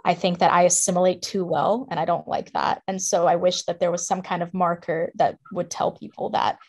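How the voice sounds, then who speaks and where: A young woman in her twenties from Ukraine with an American accent, answering interview questions in a measured, thoughtful way. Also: on the low side, steady tone, slightly rough. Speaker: guest, co-founder.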